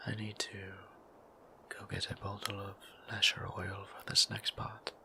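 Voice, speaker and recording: A man playing a gentle, courteous vampire priest in an intimate audio roleplay, speaking in a breathy whisper with formal, old-fashioned phrasing. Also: completely flat delivery, a little slow, heavily acted. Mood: fond, pleased. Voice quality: breathy whisper with creaky low tone.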